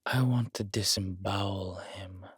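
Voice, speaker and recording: A man playing a controlled, vengeful villain king who speaks with measured, quiet menace in an intimate audio roleplay. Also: deep voice, fairly even intonation, breathy edge, no echo, clean studio sound, exaggerated voice. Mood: seething.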